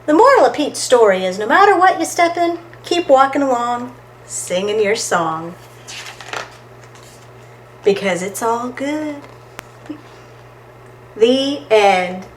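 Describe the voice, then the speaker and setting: A woman reading a children's picture book aloud in an expressive, deliberate, singsong storytime manner. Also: fairly high pitch, slight background noise. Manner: expressive, deliberate, singsong delivery with dramatic exclamations.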